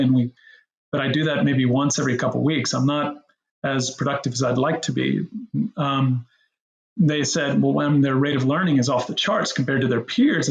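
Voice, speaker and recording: Middle-aged male guest, rambling and gravelly, recorded in a roomy space. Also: medium pitch. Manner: rambling, animated, many restarts and fillers. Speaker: guest, co-founder of a language app. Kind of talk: casual interview talk.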